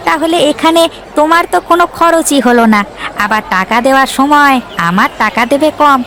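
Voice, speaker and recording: A woman's voice: high-pitched, brisk, fairly bright tone, a little hiss or hum. A woman voicing a sly, greedy male village shopkeeper in a cartoon, in a wheedling manner. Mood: sly, insistent. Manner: wheedling, chuckling. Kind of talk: Bangla cartoon voice acting.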